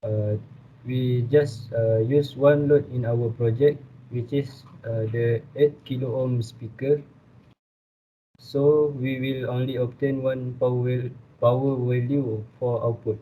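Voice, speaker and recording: A young man with a Malaysian accent giving a scripted student presentation, reading aloud haltingly. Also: mid-range voice, steady tone. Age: young adult. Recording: tinny phone-like audio, faint noise.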